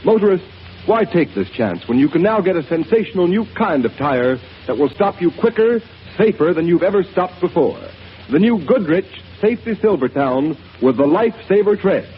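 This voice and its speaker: A man's voice: sits high, big pitch swings, moderate pace. A male radio announcer reading an emphatic 1930s commercial. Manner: emphatic, salesy. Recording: dull old radio broadcast with hiss.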